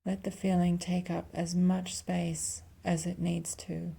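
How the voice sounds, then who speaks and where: A woman leading a guided meditation softly and calmly, with long pauses and a breathy, near-whispered delivery. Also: lowish voice. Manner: soft, calm, unhurried instruction with long pauses. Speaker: clinical psychologist guiding a meditation.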